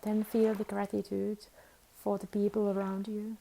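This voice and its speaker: A woman leading a guided meditation as a teacher, with soothing, unhurried instructions and long pauses. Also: medium pitch, monotone, creaky, warm tone, rough recording.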